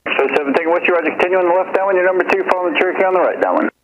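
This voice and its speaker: A man with an American accent working as a tower controller, giving clearances over aviation radio. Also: sits high, rapid-fire. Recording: muffled radio transmission, faint noise.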